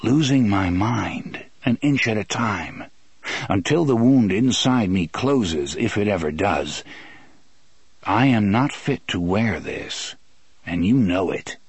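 A stoic gunslinger acted by an audiobook reader, a man, low and husky. He is grave and patient, speaking in a calm, solemn, formal way. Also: takes it slowly, no echo, exaggerated voice.

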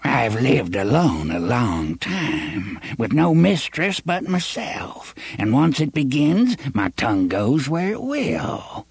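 A man's voice: medium pitch, sing-song, slow pace, gravelly, few pauses, husky, dry recording, pitched above the performer's own voice, exaggerated voice. A man voicing a spiteful, wheedling old witch in a storybook, taunting in archaic rustic phrases. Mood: dismissive, servile.

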